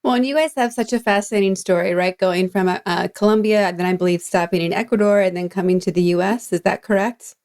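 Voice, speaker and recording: A woman's voice: medium pitch, steady tone, quick pace, few pauses, a little mellow. A woman hosting and interviewing on a podcast. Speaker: podcast host.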